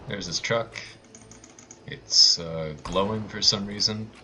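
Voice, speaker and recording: A man commentates his video game run live in a casual, sarcastic way. His voice sits fairly low but has a bright, forward edge, over faint noise and keyboard clicks. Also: steady tone, takes it slowly. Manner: sarcastic, wry. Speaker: speedrunner commentating a livestream.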